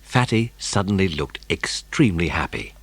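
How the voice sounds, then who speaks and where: Male narrator for a children's audiobook, deep and husky, expressive, dramatic storytelling. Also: moderate pace, dry recording.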